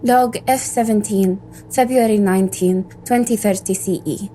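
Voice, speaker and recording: An actress playing an irritable, sarcastic cybersecurity operative in an audio drama, with a dry, cynical way of talking. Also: female voice, mid-range voice, normal speed, dark tone, a little hiss or hum, lightly acted, low-quality recording. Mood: businesslike.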